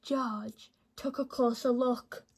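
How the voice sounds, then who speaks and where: A woman reading a children's picture book aloud in an expressive, teacherly way. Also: a little high, takes it slowly, husky. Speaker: children's story reader.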